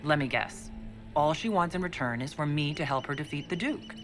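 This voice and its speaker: Wary inquisitor, dry and careful, game music faint behind. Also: male voice, on the high side, animated, a little fast, a bit bright. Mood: skeptical. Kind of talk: video game dialogue. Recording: game audio with music underneath.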